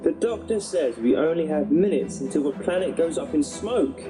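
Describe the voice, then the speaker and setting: A man playing a bewildered but plucky offworld settler in an audio drama, speaking in an animated way. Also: fairly high pitch, normal speed, over music, natural voice. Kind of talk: scripted audio drama acting.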